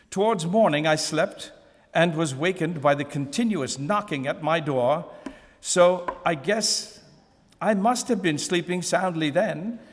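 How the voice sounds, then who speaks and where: Man, fairly high voice, measured expressive reading, long hall reverb, live event. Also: a little hiss or hum. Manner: measured, expressive first-person narration. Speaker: reader. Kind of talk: reading a classic novel aloud at a live event. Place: museum event room.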